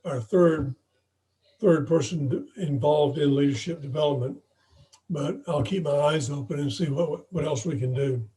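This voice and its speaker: A hoarse man with a slightly high, mellow voice reports to club members over a video call. He speaks informally and haltingly, pausing to clear his throat. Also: rough recording. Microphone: video call microphone.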